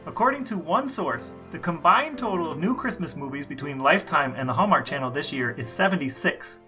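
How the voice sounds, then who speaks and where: A man's voice: a little high, lively intonation, fairly quick, over music. A man co-hosting a podcast, putting questions in a casual interview style.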